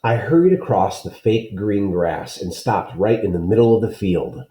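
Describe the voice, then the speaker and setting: A man's voice: on the low side, normal speed, warm tone, a little hiss or hum. A man reading a children's story aloud, with plain explanatory asides. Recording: roomy, echoing sound.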